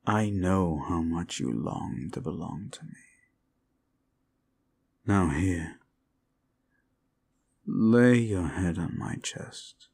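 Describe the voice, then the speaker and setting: A man's voice: low-pitched, gravelly, slightly rough, full character voice. A man voicing a sly, seductive demon who hungers for suffering, in an intimate, teasing and possessive ASMR roleplay murmur. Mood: soothing, sly.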